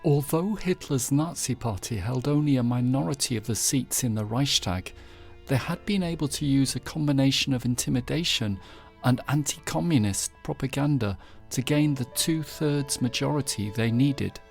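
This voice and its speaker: A man reading scripted, documentary-style news headlines in a measured, formal manner. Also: medium pitch, creaky. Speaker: narrator presenting historical news headlines. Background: background music.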